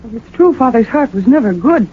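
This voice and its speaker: An actress playing an earnest, urgent, distraught young daughter in a 1940s radio mystery. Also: female voice, on the high side, expressive, brisk, lightly acted. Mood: shaken but composed. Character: distraught grown daughter of a murdered amusement park owner. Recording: muffled, hissy 1940s radio broadcast recording.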